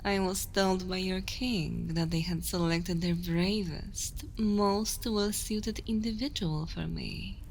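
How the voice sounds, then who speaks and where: Slow, hushed evil queen, acted by a woman. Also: on the low side, fairly even intonation, slight background noise, rough recording. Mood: cold, blunt. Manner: slow, deliberate, hushed delivery slipping into whispers; commanding, condescending, teasing. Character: seductive evil queen, imperious, predatory, coolly amused. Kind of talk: ASMR roleplay, intimate dramatic monologue.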